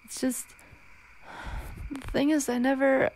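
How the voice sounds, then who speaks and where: A frightened young werewolf girlfriend, acted by a woman in an ASMR audio drama. Her voice is fairly high and she speaks very slowly, stammering and pleading as she tries to hold back her change. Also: level tone, a little mellow, slight background noise, poor audio. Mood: reluctant confession.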